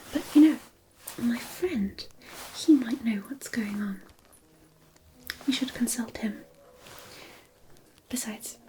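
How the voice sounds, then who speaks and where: A woman playing a kindly, attentive, gently playful fairy helper in a fantasy ASMR roleplay, soothing in a breathy near-whisper. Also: fairly high pitch, slight background noise, lightly acted.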